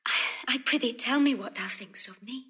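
An actress playing a proud, passionate, lovesick noblewoman in classical verse drama, with a breathy voice. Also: fairly high pitch, fairly quick, sharp tone, exaggerated voice. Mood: yearning. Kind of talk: classical verse drama acting, recorded audio drama.

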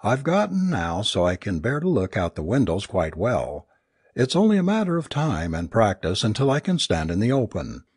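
A man's voice: on the low side, wildly swooping pitch, normal speed, a little mellow, breathy edge, no echo, studio quality, full character voice. A man voicing a tense, determined man in a story, speaking with earnest, wordy wryness. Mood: resolute. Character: tense, wry, determined man facing humiliation.

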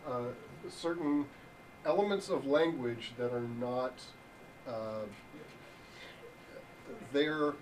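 Deadpan young male presenter, halting and slow, caught from across a function room. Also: mid-range voice. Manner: deadpan, halting with many ums. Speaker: improv presenter. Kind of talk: improvised mock lecture. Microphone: far room camera. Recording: distant voice with room hiss.

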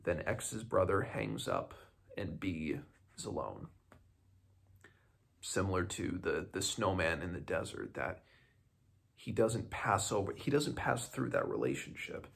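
A man's voice: low-pitched, lively intonation, measured pace, vocal fry, long pauses, a bit bright, breathy edge. A man in his twenties giving a casual, unscripted book review to camera in a small home room, thinking aloud hesitantly and often restarting his sentences. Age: 20s.

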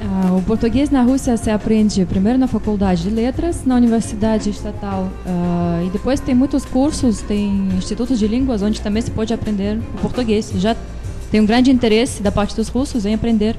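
A woman from Russia in her thirties, a tour guide interviewed on TV, answering in careful, measured Portuguese with a Russian accent into a handheld mic. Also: mid-range voice, over music. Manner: careful, measured, a little formal. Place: TV studio.

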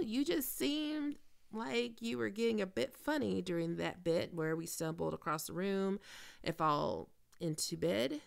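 A woman's voice: mid-range voice, vocal fry, heavily acted. A woman playing a famous, cocky and flirty actress in an intimate, teasing, confiding ASMR roleplay. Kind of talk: intimate ASMR audio roleplay, one-sided conversation.

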